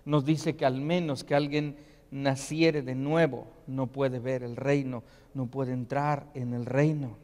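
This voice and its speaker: A man's voice: medium pitch, level tone, takes it slowly, a little mellow, long reverb tail. A man preaching a sermon in earnest, often stopping to ask rhetorical questions. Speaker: pastor. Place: church sanctuary.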